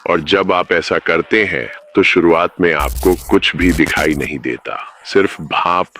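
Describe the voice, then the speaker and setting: A man's voice: low-pitched, unhurried, sharp tone, slight background noise. A man narrating a scripted horror story, speaking ominously and addressing the listener directly.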